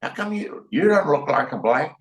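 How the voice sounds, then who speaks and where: A man's voice: on the high side, very animated, moderate pace, far from the mic. An older South African man talks bluntly in an interview and loops back over his points with plenty of restarts. His voice is a little off the mic and the room rings around it. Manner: rambling, repetitive, blunt, with many restarts. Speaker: interview guest. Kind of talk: opinionated interview talk. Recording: roomy sound, voice a bit off-mic.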